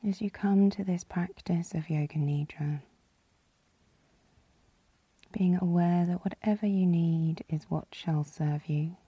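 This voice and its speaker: A woman leading a guided yoga nidra relaxation as the guide, calm and soothing, with a soft, breathy, husky voice. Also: fairly low pitch, a little slow, dry recording, muffled recording. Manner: calm, soothing, gently instructing.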